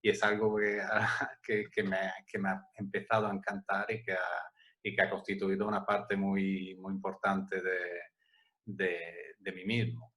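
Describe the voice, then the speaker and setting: A man in his forties from Italy, speaking with an Italian accent, answering interview questions in a relaxed, hesitant way with many restarts. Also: low-pitched, a little slow. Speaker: interview guest, music agency director.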